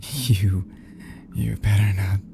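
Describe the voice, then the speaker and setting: A man playing an obsessive, lovesick kidnapper in an intimate audio roleplay, desperate and unstable, speaking haltingly with stammers and drops toward a whisper. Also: lowish voice, fairly even intonation, noisy background. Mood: rattled, disbelieving. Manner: halting, stammering, breathy nervous chuckles, often dropping to whispers.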